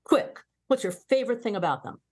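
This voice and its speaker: A woman's voice: on the high side, expressive, fairly quick, a little mellow. A woman reading the part of a blunt, sarcastic young female starship officer in a comedic table read. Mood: mocking. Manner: sarcastic, exclamatory.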